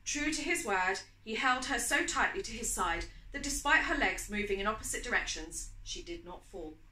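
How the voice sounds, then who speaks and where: Bright, echoey woman reading a story. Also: mid-range voice, animated, moderate pace. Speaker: author reading from own novel. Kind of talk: audiobook-style reading of romantic fiction, storytelling.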